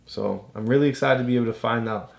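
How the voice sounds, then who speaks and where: A woman's voice: a bass voice, quick pace, gravelly. A woman casually explaining things to a child as a tutor, encouraging and often checking understanding with questions. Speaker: parent tutor guiding a child's reading.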